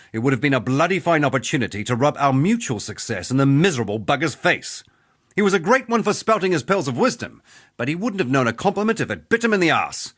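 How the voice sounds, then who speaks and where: A man's voice: medium pitch, fairly bright tone. A man playing a cocky, jovial middle-aged mercenary weapon master in a video game, with wry, boastful, roguish banter. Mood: rueful, admiring. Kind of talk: scripted game character dialogue.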